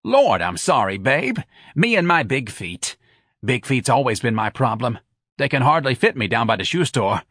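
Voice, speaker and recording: A man voicing a bossy, brash older working-class woman with a loud, folksy, scolding manner in an audiobook. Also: mid-range voice, big pitch swings, moderate pace, dry recording, clean studio sound, voice pushed up. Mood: folksy, exclaiming. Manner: loud, folksy, colloquial, emphatic.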